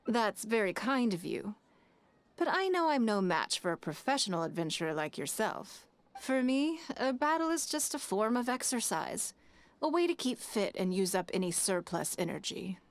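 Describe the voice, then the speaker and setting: A woman's voice: mid-range voice, very animated, normal speed, dry recording. Poised, elegant wealthy businesswoman. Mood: amused, teasing. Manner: composed, elegant, teasing. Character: wealthy, poised businesswoman, confident, playful and shrewd. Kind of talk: video game character dialogue.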